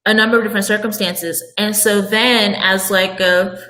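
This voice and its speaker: A woman's voice: mid-range voice, steady tone, moderate pace, sharp tone, poor audio. A woman co-hosting a book discussion in a casual, conversational way, informal and inclined to prompt her partner. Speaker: book club discussion host. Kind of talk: casual chat.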